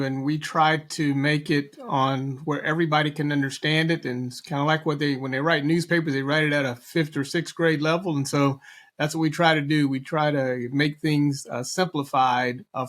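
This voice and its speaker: Measured middle-aged man from North Carolina. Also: on the high side, little pitch movement, a bit bright. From eastern North Carolina. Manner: measured, with frequent "uh" and "you know" fillers. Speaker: guest, business consultant. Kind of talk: conversational interview.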